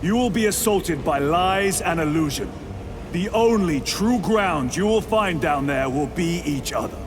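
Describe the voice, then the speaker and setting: Stern commanding war officer. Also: male voice, on the high side, animated, measured pace, background noise. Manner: clipped, commanding. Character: stern male warrior officer briefing his squad.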